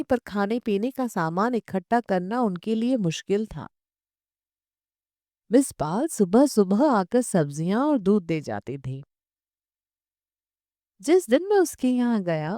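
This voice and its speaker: A woman's voice: a little high, dark tone, no echo, studio quality. A woman reading a literary short story as an audiobook narrator in a scripted style.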